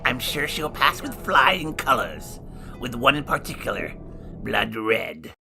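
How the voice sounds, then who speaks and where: A sarcastic horror host with a shriveled, burnt face, as a man plays him, trading theatrical jokes in a scripted horror review. His voice is rough and gravelly and swings widely up and down. Music plays clearly beneath him. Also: medium pitch, big pitch swings, measured pace, pushed and bright, full character voice. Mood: snarky. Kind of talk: scripted comedic review banter. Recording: music bed underneath.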